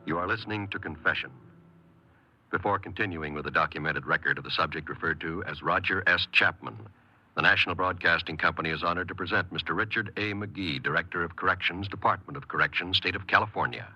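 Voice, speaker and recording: Male 1950s radio announcer, formal, low-pitched with a husky edge, muffled vintage recording. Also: quick pace, bright, forceful tone.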